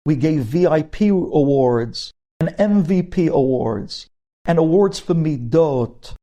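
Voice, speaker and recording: A man's voice: a little high, animated, slow, mellow. A man giving a religious ethics lecture as a rabbi, speaking emphatically and putting rhetorical questions straight to his listeners.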